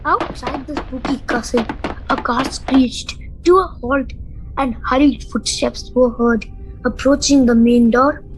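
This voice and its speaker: A woman's voice: sits high, measured pace, music in the background, poor audio. A woman reading scripted narration for a radio play.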